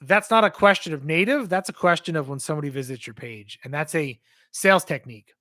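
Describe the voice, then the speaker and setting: Male livestream host, higher voice, hoarse from losing his voice, rambling answers to chat questions. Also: quick pace, pushed and bright. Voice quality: strained, hoarse. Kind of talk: casual livestream Q&A.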